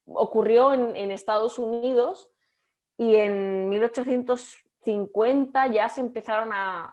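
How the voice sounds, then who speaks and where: A woman's voice: mid-range voice, a little mellow. Catalan woman lecturing over a video call. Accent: Catalan Spanish. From Catalonia, Spain. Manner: halting, many restarts and fillers. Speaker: guest lecturer. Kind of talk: online lecture.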